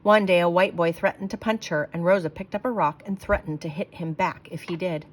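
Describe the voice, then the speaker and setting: A woman reading a children's book aloud in a clear, expressive storytelling manner. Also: on the low side, a little fast, rough recording.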